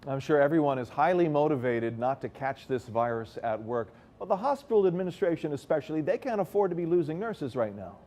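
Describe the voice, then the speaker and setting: Male news anchor, measured and conversational. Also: a little high, animated, dark tone. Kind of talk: news interview.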